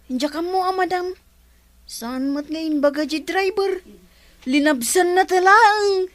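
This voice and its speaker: A woman's voice: very high-pitched, a little slow. A woman in a radio drama playing a chatty, gossipy male helper who teases and laughs often. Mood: teasing.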